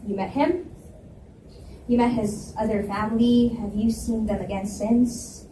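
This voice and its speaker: A woman reads a story aloud with expressive first-person narration. Her voice sits fairly high. It comes from a distance in an echoing library room, with faint room noise behind. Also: a little slow. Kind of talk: live reading of romance novel.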